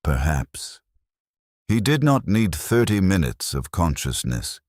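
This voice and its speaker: Male dramatic narrator: very low register, widely moving intonation, grave and deliberate first-person storytelling, close dry sound. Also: sing-song, a little mellow, dry recording. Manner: grave, measured, short clipped sentences.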